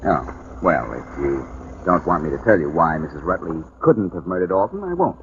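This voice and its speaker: An actor playing a wisecracking, smug private detective in a 1940s radio drama, with a teasing, sardonic manner. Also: male voice, deep voice, very animated, a little fast, background noise. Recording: narrow tinny old radio broadcast with music.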